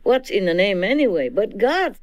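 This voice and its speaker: A woman's voice: mid-range voice, big pitch swings, normal speed, darkish tone, no echo. A woman with a Vietnamese accent giving an informal teaching to disciples. She laughs often and keeps checking in with her listeners. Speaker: spiritual teacher.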